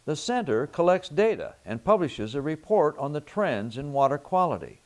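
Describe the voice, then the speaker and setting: A man narrating a television documentary in a measured, expressive way. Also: fairly high pitch.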